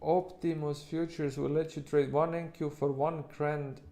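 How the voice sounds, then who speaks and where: Halting Estonian-accented man, livestream host. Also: a little high, little pitch movement, mellow. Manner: halting, rambling, informal, occasional swearing. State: sleep-deprived. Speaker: livestream host, futures trader. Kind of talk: casual livestream chat with viewers.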